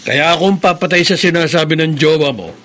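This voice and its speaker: A man acting a cocky, loyal young buddy in a radio drama, expressive and slangy. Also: a little high, fast talker, close to an everyday voice.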